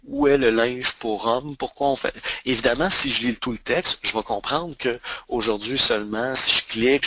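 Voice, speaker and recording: A man in his thirties with a Quebec French accent, giving a webinar on email marketing and often pausing on fillers and restarts. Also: mid-range voice, sharp tone. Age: 30s. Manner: hesitant, many fillers and restarts. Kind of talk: webinar presentation.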